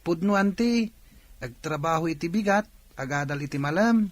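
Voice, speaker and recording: Polite hardworking young brother played by a man, Ilocano accent, slightly high male voice, dry radio drama sound. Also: expressive, moderate pace, no room sound, lightly acted.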